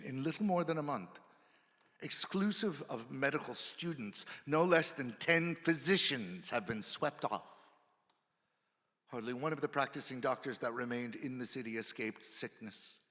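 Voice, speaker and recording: Bright, forceful man reading in an echoing hall. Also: mid-range voice. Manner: formal, emphatic, theatrical oratory with weighted pauses. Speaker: actor giving a dramatic reading. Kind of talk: dramatic reading of an old historical account. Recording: reverberant hall with long echo.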